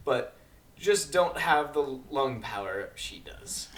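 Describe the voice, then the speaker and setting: Man playing a courteous stallion with lively intonation. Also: on the high side, takes it slowly. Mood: fond. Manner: polite, slightly formal. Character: reserved, courteous tan stallion pianist. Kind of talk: amateur dramatic fan fiction reading.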